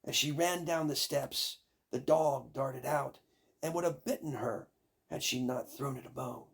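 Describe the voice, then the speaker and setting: An older man reading a fairy tale as a storyteller, dramatic and deliberate in his narration. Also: mid-range voice, gravelly, breathy edge.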